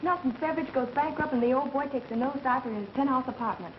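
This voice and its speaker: An actress playing a brisk, quick-witted young radio career woman in 1930s screwball style. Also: high voice, natural voice. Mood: brisk, wry. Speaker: actor in a film. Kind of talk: 1930s fast screwball film acting. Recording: muffled, hissy 1930s film soundtrack.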